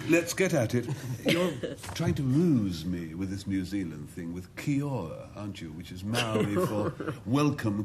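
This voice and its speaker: A man captaining a team on a panel word game, drily joking and playful. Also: mid-range voice. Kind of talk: comic bluffing banter.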